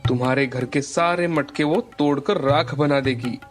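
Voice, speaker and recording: A man's voice: mid-range voice, normal speed, close to an everyday voice. Man playing a solemn sage over prominent music. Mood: grave warning. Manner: grave, instructive. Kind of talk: animated moral-story dialogue.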